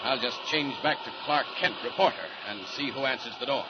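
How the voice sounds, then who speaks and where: An actor with an American accent playing a confident, bold superhero thinking aloud in declamatory 1940s radio style. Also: male voice, mid-range voice, brisk, natural voice. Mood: confident, purposeful. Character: confident, bold male superhero talking to himself. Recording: noisy, muffled 1940s radio recording.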